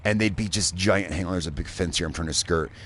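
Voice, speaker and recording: Casting note: rambling male game streamer from Indiana, lower voice, loose and profane. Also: quick pace, a bit bright, slight background noise. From Indiana, USA. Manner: rambling, chatty, swears freely. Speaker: gaming streamer. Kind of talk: casual live gameplay commentary.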